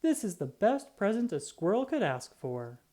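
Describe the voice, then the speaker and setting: A polite, delighted little squirrel gentleman from a storybook, voiced by a woman. The voice lifts well up and swoops freely, appreciative and exclamatory. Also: medium pitch, sing-song, normal speed, pitched above the performer's own voice, natural voice. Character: delighted, polite male squirrel who loves treats.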